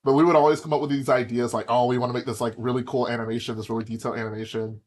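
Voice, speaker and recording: A man's voice: mid-range voice, racing, slight background noise. Laid-back young man chatting on stream. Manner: relaxed, rambling with many "like" fillers. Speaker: livestream host. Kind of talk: casual chat while building Lego sets.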